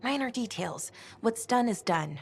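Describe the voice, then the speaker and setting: An actress playing a scrappy young woman adventurer in a video game, casual and defensive, with a husky voice. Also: fairly high pitch, animated, measured pace, slight background noise. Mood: sheepish. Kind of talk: video game cutscene dialogue.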